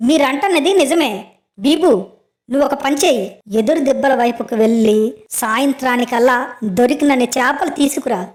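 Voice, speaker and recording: A brave young penguin heroine, acted by a woman in a children's animated story. The voice is high and roomy, with a kind, reassuring manner that takes charge and gives clear instructions. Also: brisk, lightly acted. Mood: confident, planning.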